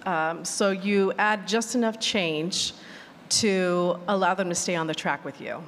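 A woman's voice: lowish voice, a little slow, fairly bright tone. Woman panelist in a reverberant hall. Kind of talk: conversational panel answer. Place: large room at a live conference panel. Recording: reverberant hall, faint room noise.

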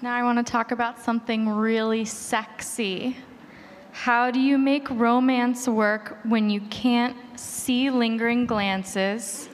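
A woman's voice: a little high, steady tone, takes it slowly. A woman moderating a live panel, putting questions to the guests in turn. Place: large room at a live conference panel. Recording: reverberant hall, faint room noise.

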